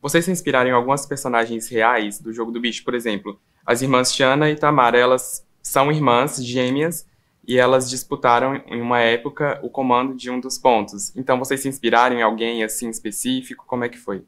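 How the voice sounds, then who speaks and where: Interviewer, a woman with a strikingly low voice, friendly and upbeat. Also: steady tone, fairly quick, fairly bright tone. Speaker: entertainment interviewer. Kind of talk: casual interview questions.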